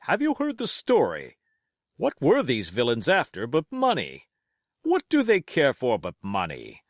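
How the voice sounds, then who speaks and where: A man's voice: mid-range voice, huge pitch swings, measured pace, no echo, clean studio sound, pitched above the performer's own voice. A man voicing a bluff, hot-headed country squire in an audiobook, exclamatory, grand and condescending. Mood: excited, blustering.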